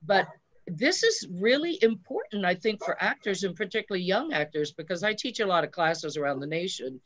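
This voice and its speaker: A woman's voice: fairly low pitch, sing-song, a little fast. A woman theatre director and drama teacher explaining her craft in an interview, instructive and emphatic.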